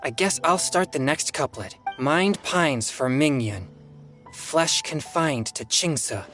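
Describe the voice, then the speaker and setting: Game role: modest teenage boy, low-set voice, lively intonation, faint music behind. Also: slow pace, full character voice. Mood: earnest, thoughtful. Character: earnest, modest teenage boy, thinking aloud. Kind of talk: video game voice acting.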